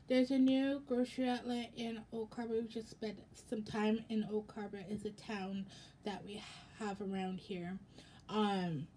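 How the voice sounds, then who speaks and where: A young American woman with a fairly high, slightly raspy voice talks casually to camera. She rambles and halts at a slow pace. There is clear background noise in the room. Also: a little mellow. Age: young adult. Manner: rambling, halting. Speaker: vlogger. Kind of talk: casual haul vlog chat. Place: indoors in a home room.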